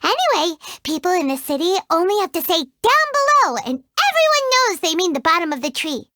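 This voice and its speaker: A woman voicing a tiny, excitable fairy companion in a video game who talks about herself in the third person. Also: brisk, no echo, clean studio sound, full character voice. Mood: chirpy, excitable. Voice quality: high squeaky childlike voice. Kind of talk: video game character dialogue.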